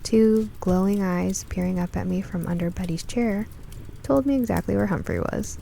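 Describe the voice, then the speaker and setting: A woman's voice: mid-range voice, normal speed, gravelly, warm tone, a little hiss or hum. A woman narrating a scripted first-person story in the style of a nostalgic radio drama. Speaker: narrator, writer and producer of the show.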